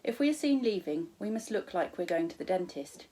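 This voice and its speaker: Storybook guardian, read by a woman: kindly, whimsical gentleman, unruffled, quaint and reassuring. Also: on the low side, big pitch swings, fairly quick, rough recording. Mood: calm, cheerful. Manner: reassuring, quaint turns of phrase. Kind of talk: children's storybook read aloud.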